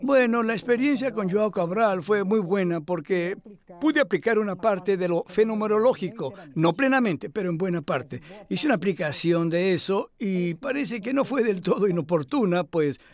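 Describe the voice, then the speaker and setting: High-pitched, thoughtful elderly philosopher. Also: male voice, animated, moderate pace. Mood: reminiscing. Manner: reflective, hesitant, conversational. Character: elderly male philosopher reminiscing, thoughtful and modest. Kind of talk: Spanish voice-over dub of an interview.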